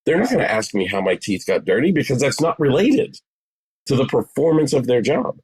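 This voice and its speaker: A man's voice: medium pitch, sing-song, brisk, darkish tone, breathy edge, poor audio. A man in his forties explaining things conversationally as an interview guest, emphatic and repeating phrases for stress. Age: 40s. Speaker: psychotherapist, podcast guest.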